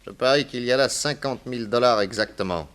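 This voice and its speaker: A man's voice: mid-range voice, a little fast, fairly bright tone, smooth, lightly acted. A man playing a shrewd, self-assured private detective in a radio crime drama, questioning people in a wry, methodical way. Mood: confident, methodical. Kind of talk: 1960s French radio crime drama acting.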